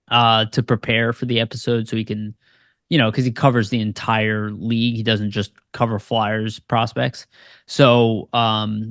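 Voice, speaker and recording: A man's voice: mid-range voice, no echo, clean studio sound. An American man co-hosting a sports podcast, talking in a loose, rambling way with lots of fillers. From United States. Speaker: podcast co-host. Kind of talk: casual sports podcast chat.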